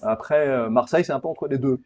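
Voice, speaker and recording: A man's voice: medium pitch, quick pace, darkish tone, slight background noise. A man from Paris, hosting a casual interview in an informal, blunt, digressive way and often repeating words. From Paris, France. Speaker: podcast host, interviewer.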